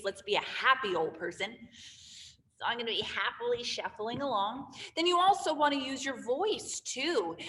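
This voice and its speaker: A woman teaching a drama lesson to young students, explaining step by step and showing each idea as she goes. Her voice sits fairly high, with a long reverberant tail around it. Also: fairly bright tone. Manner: clear, demonstrative explaining with acted gestures. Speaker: theater teacher.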